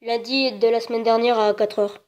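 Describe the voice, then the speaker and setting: A woman's voice: fairly high pitch, fairly even intonation, moderate pace, a little mellow. A woman making a prank phone call as a customer, hesitant and meekly insistent in her manner. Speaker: prank caller posing as a customer. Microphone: telephone. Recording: dull, band-limited phone line.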